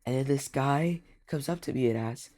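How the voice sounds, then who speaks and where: A man's voice: medium pitch, normal speed, warm tone. A young man with a congested, stuffy voice, telling a casual rambling story about a game and repeating himself often. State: congested from a cold. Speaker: gaming YouTuber.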